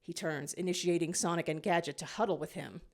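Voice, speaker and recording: A woman narrating a scripted fan fiction story in an expressive reading style. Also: fairly low pitch, fairly quick.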